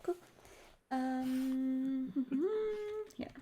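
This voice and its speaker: A woman's voice: sits high, expressive, extremely slow, mellow, slight background noise. A woman talking casually as a player in a tabletop roleplaying game, calling her actions and dice rolls.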